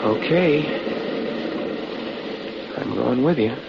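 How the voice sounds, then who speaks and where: Uneasy, animated sidekick, noisy old radio. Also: male voice, medium pitch, drawn-out words, vocal fry, exaggerated voice. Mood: alarmed, protesting. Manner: questioning, incredulous. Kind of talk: old-time radio drama acting. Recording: noisy, muffled vintage radio recording.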